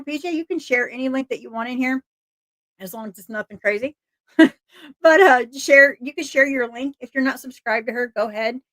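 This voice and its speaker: Woman, slightly hoarse, higher voice, chatty livestream host, dry close sound. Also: fairly bright tone, no echo. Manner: chatty, laughs often, restarts words. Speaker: livestream host reading missing persons flyers. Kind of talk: casual livestream chat and flyer reading.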